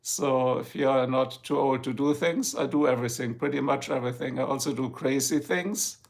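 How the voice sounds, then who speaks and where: A man's voice: medium pitch, normal speed, creaky. An older man from Germany with a German accent, hosting a rambling, jokey live stream chat from home and talking to his viewers by name. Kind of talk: casual chat. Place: home, in Ohio.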